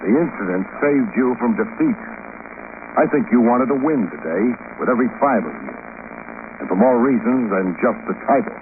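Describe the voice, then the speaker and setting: An actor playing a wisecracking private investigator who narrates and questions in a radio drama, deadpan and sardonic. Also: male voice, mid-range voice, normal speed, natural voice. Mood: cool, probing. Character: dry, wisecracking hardboiled male private investigator. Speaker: detective lead and narrator. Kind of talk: 1950s radio detective narration and dialogue. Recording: noisy, tinny old radio recording.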